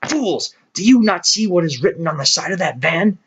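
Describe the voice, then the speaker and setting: A man's voice: high-pitched, big pitch swings, normal speed, a bit bright, a little hiss or hum, voice pushed up. A man doing a bitter old donkey. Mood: alarmed scolding.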